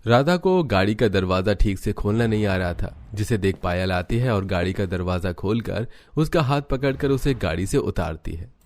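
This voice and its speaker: A man's voice: on the low side, expressive, moderate pace, hardly any pauses, darkish tone. A man reading scripted narration for a family story as its narrator.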